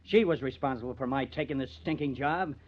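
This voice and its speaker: An actor in an old-time radio crime drama playing a bitter, sardonic newspaper artist who has turned murderer. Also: male voice, medium pitch, fairly quick, close to an everyday voice. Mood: bitter, confessing. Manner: sardonic, menacing. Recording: muffled vintage radio recording.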